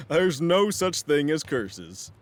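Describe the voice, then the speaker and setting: A man's voice: on the high side, heavily acted. A man playing a genial professor of antiquities, explaining in a friendly way in an audio drama. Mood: amiable.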